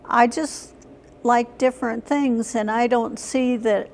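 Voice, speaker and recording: An older woman with a slightly high voice speaks slowly and with little rise or fall. She gives plain, matter-of-fact answers in an interview, a little halting as she goes. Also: steady tone, gravelly, darkish tone, a little hiss or hum. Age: older, senior. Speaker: interview guest, senior center member.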